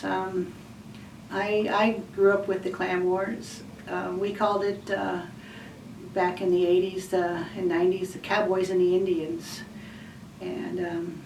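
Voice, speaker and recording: A woman in her forties from Washington State speaks in a fairly low, flat voice, stepping through a list with frequent ums. She sounds distant from the mic, in a roomy, echoing space with faint ambience behind her. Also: slight background noise. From Washington State, USA. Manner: hesitant, many ums, list-like repetition. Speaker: student speaker. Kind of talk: personal "I am from" recitation.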